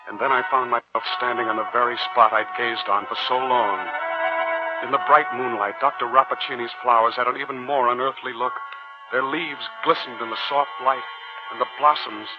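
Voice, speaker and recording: An actor playing an earnest, impulsive young student with a hesitant, theatrical delivery in a 1940s radio drama. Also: male voice, medium pitch, close to an everyday voice. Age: young adult. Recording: muffled 1940s radio recording, music behind.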